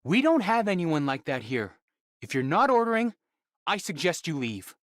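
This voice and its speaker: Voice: mid-range voice, very animated, normal speed, no room sound, studio quality, lightly acted. A performer playing a brusque, guarded tavern worker in an anime game. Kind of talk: English anime game voice acting.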